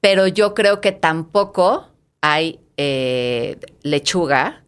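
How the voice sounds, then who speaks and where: Low-voiced, eager Mexican woman. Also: expressive, slow pace, clean tone. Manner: enthusiastic, restarts and repeats words. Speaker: guest, fitness studio founder. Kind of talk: casual interview talk.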